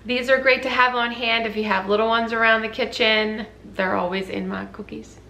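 High-voiced woman, chatty. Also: steady tone, normal speed, sharp tone, rough recording. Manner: chatty, informal, addressing viewers as guys. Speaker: host of a healthy cooking channel. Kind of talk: casual recipe vlog, explaining to viewers. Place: home kitchen, indoors. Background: clear background music.

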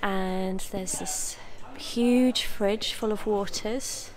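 A woman with a slightly croaky voice giving a slow, gentle, partly whispered tour of a quiet café. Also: medium pitch, steady tone, poor audio. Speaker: ASMR vlogger giving a tour. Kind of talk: soft-spoken whispered tour. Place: empty indoor café with people chatting nearby. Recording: background chatter from a nearby meeting.

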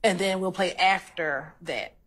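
A woman's voice: fairly low pitch, a little slow, fairly bright tone. A woman arguing as a prosecutor in a courtroom. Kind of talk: courtroom argument. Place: large wood-paneled courtroom.

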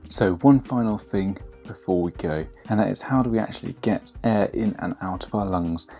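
A young man with a lowish voice explains biology patiently and clearly, as a teacher would. He is recorded in a home kitchen with a roomy echo. Soft music plays faintly underneath. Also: moderate pace. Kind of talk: educational explainer.

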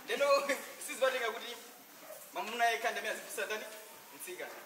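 Young Malawian man, very quick, rhetorical questioner, noisy echoey room. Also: high-pitched. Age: young adult. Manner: rhetorical questions he answers himself. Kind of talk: group discussion. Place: indoor room. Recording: echoey, distant, clear background noise.

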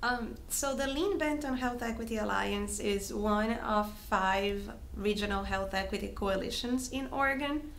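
A friendly, thoughtful woman in her thirties, originally from Brazil, answers interview questions with frequent ums. Her voice has a gravelly edge. The sound is roomy, with a faint hiss behind it. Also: medium pitch, a little slow, a bit bright. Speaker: guest, health coalition coordinator. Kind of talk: casual interview talk. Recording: echoey room with faint hiss.

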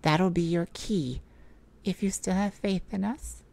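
A woman playing a tender, heartbroken but resolute mage in an emotional audio roleplay, speaking intimately and haltingly with a pleading manner. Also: fairly low pitch, vocal fry, darkish tone. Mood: resigned, loving.

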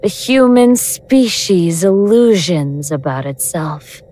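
Female elder god, audio drama, condescending, self-possessed, slow delivery, lower register. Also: lively intonation, warm tone. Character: mocking, languid elder god in guise of a young ghost woman.

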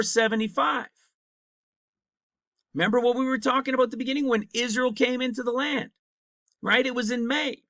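A man in his fifties gives an emphatic Bible teaching over a screen share, often checking in with his listeners. His voice sits high and bright. The sound is dry and close. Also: no echo. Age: 50s. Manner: emphatic, repeats points and asks "right?".

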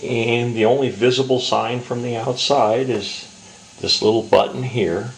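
An American man giving a casual hands-on hobby demonstration in an unhurried, halting, explanatory way, recorded indoors on a home camera. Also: medium pitch, fairly even intonation, creaky. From Southern California, US. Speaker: model train hobbyist presenter. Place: indoors in a home dining room. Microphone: handheld camera's built-in mic. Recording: noisy home camcorder audio.

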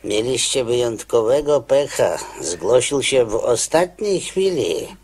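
Slow-spoken, weary prison boss. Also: male voice, mid-range voice, animated, a little mellow, slightly rough. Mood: patient, reproving. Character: weary, matter-of-fact male prison boss. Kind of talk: radio play acting.

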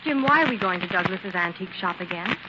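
A woman's voice: fairly low pitch, animated, quick pace, lightly acted. Actress as a plucky young woman, noisy old radio. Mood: earnest, curious. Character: plucky young woman, loyal assistant to a crime fighter. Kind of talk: 1940s radio drama acting. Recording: noisy muffled 1940s radio recording.

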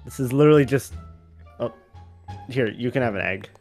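A man's voice: mid-range voice, a little fast, a little mellow, soft background music, lightly acted. A man playing a skeptical, know-it-all wizard student with dry asides in a Minecraft roleplay.

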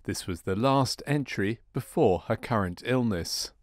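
A man reading scripted documentary narration about a medical case in a measured, clinical manner. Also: on the low side, expressive.

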